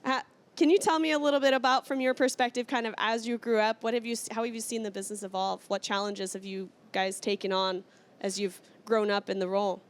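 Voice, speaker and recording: A woman's voice: mid-range voice, steady tone, fairly quick. A woman from Iceland hosting a casual trade-show interview in an enthusiastic, informal way. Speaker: interview host.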